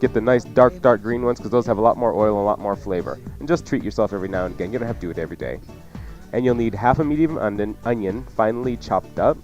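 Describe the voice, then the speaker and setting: A man's voice: on the low side, quick pace, darkish tone, soft background music. A man hosting a radio cooking show, explaining recipes in a casual, folksy, jokey way. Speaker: cooking show host and chef. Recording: dull lo-fi radio recording.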